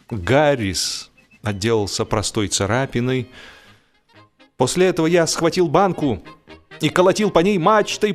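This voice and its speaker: Theatrical male narrator over music. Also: on the high side. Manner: theatrical, expressive, with emphatic swells and deliberate pauses. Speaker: audiobook narrator. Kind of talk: dramatic humorous literary narration.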